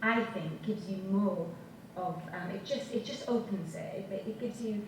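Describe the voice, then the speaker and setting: A woman's voice: mid-range voice, a little slow. A woman with a strong Welsh accent, an actor speaking thoughtfully on a panel. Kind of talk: panel discussion. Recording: echoey hall from far off, noisy.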